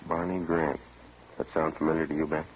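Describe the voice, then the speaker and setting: A man's voice: extremely low pitch, level tone, fairly quick, background noise. A man playing a terse, world-weary police sergeant, clipped and matter-of-fact, in a vintage radio police drama. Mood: businesslike. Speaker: narrator and lead detective. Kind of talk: 1950s radio police drama, narration and dialogue. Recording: dull old radio broadcast, music behind.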